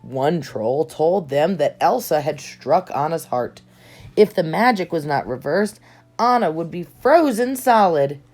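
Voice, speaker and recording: A woman reading a children's storybook aloud in expressive narration, with dramatic emphasis on key words. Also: low voice, a little slow. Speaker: storybook reader. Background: soft music.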